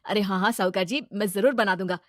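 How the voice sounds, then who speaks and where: A woman's voice: medium pitch, steady tone, fast talker, no room sound, clean studio sound, natural voice. A woman voicing an obliging, humble village noodle seller man in a moral story, agreeable and eager. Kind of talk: animated moral-story character dialogue.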